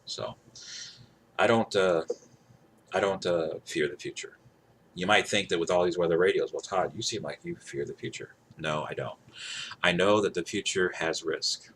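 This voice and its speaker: A man with a very deep voice talks casually and off the cuff, as if showing off gear at home. He hesitates, doubles back and repeats himself, with some noise behind him. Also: normal speed, fairly bright tone. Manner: hesitant, rambling, self-correcting and repetitive. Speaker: vlogger showing his weather radios. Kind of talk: casual unscripted show-and-tell. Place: indoors at home. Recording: clearly noisy home recording.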